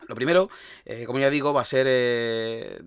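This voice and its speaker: A man from Andalusia with a softened Andalusian accent, hosting a solo podcast in a rambling, restarting way. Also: medium pitch, fairly even intonation, normal speed, clear, resonant tone, dry recording. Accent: Andalusian Spanish, softened. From Andalusia, Spain. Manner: rambling, with frequent restarts and fillers. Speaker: podcast host.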